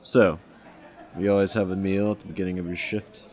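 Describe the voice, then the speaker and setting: A cocky, wisecracking detective, played by a man, casual and quick with a joke. His voice sits low. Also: flat delivery, darkish tone, lightly acted. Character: young male detective, cocky ex-undercover cop.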